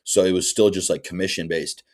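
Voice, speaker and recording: A man's voice: sits low, steady tone, a little fast, a little mellow. A man in his twenties, a bodybuilding coach, chatting casually on a podcast and often asking "you know what I'm saying". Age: 20s.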